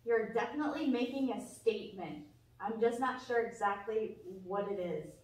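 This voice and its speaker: A woman's voice: medium pitch, moderate pace, darkish tone, natural voice. A woman voicing a sensible, earnest, plain-spoken young puppet character in a children's church show. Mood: skeptical. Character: sensible, dry, know-it-all young puppet friend. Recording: echoey, noisy room, distant mic.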